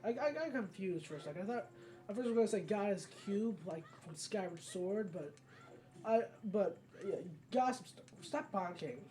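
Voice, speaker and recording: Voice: medium pitch, animated, moderate pace, warm tone. A gamer hosting a casual let's play, rambling along and often correcting themselves mid-sentence. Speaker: gaming video host. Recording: game music behind the voice. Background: video game music.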